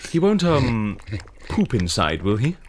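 An actor playing an eager, polite and naively festive interviewer in an audio drama, chatty and theatrical. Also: male voice, mid-range voice, sing-song, unhurried, a little hiss or hum. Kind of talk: scripted audio drama acting.

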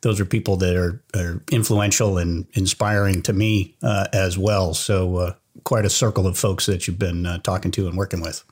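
A man from Boston, a podcast guest, speaking in a measured way with frequent pauses. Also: sits low, steady tone, darkish tone, husky. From Boston, USA. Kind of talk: casual interview talk.

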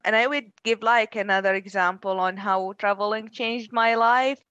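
A woman's voice: medium pitch, fairly even intonation, a bit bright, no echo, highs cut off. A woman from Iraq, a structural engineer, speaking thoughtfully as a podcast guest. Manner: thoughtful, measured, some restarts. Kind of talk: casual chat.

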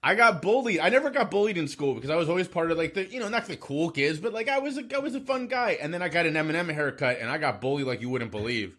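A man in his thirties, self-deprecating and quick to laugh in a casual conversation. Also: a little high, lively intonation, fairly bright tone, breathy edge. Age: 30s.

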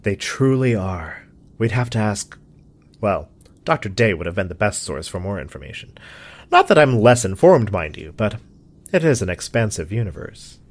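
A theatrical, deep-voiced eccentric scientist. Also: male voice, sing-song, normal speed. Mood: earnest, grandiose. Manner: formal, theatrical, precise diction. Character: pompous, eccentric male scientist, dramatic and lonely. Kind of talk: scripted audio drama acting.

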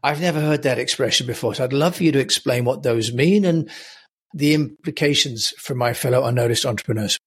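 A male podcast host introducing his guest and asking measured questions, with frequent "you know" fillers. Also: medium pitch, breathy edge. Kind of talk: interview hosting.

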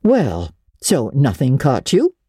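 A woman plays an unyielding older guardian from a classic storybook. Her voice is low, dark and husky, and she speaks in a firm, clipped, unsympathetic way with no patience for nonsense. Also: huge pitch swings, slow pace, dry recording, studio quality, heavily acted. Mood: unfeeling.

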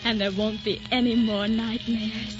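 An actress playing a tender, soothing woman comforting her beloved, gentle and romantic, in 1940s radio drama style. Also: fairly high pitch, little pitch movement, measured pace, lightly acted. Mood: tender, reassuring. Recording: muffled 1940s radio recording with music.

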